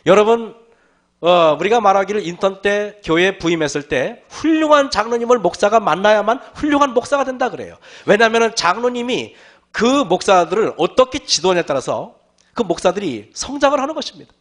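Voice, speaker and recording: Hoarse, high-pitched male pastor preaching. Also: big pitch swings, brisk, a bit bright. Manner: earnest preaching, often calling out to the listeners and asking rhetorical questions. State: hoarse, often clearing his throat. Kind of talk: sermon to a congregation.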